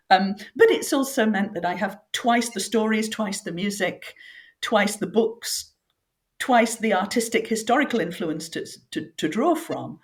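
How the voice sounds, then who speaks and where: Reflective storytelling woman author. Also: mid-range voice. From northern England. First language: French. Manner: reflective, vivid storytelling with fillers. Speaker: author guest. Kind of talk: conversational interview.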